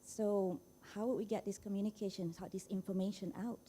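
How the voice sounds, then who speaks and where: A woman's voice: medium pitch, steady tone, fairly quick, dark tone, a little hiss or hum. A woman from Malaysia putting an informal question from the floor at a conference. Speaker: audience questioner, science communicator.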